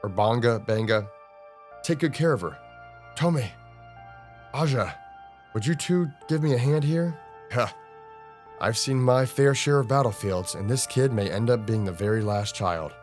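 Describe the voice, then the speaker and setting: A man's voice: medium pitch, measured pace, long pauses, darkish tone, close to an everyday voice. A man voicing a blunt, grumbling old alien granny soldier from a manga. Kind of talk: manga read-aloud. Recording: music under the voice.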